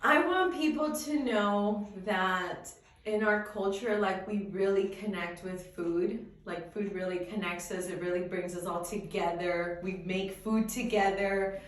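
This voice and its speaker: A woman's voice: medium pitch, a little slow. A woman speaking earnestly in an interview, circling back over the same words. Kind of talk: casual interview answer. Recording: very echoey room, distant mic.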